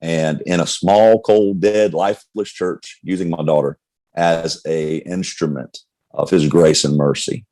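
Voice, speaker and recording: Middle-aged male pastor, low pitch, dry close sound, candid testimony style. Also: steady tone, a little slow, a little mellow, no room sound. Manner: earnest, candid storyteller with many restarts. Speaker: pastor, guest. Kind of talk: personal testimony in conversation.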